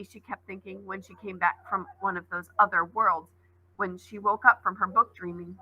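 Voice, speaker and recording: A woman's voice: a little high, breathy edge, no room sound, muffled recording. A woman teacher reading a novel aloud expressively, adding brief asides.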